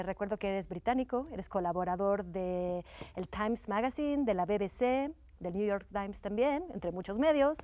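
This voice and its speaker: A woman's voice: mid-range voice, darkish tone, no room sound. A woman hosting a panel talk show, introducing guests in an upbeat way. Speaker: host.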